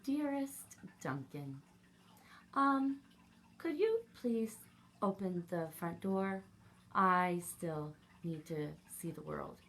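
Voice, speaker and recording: A woman's voice: lowish voice, lively intonation, slow. A woman voicing a grandiose little crayon who longs for adventure, in a children's storybook reading. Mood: pompous, pleading.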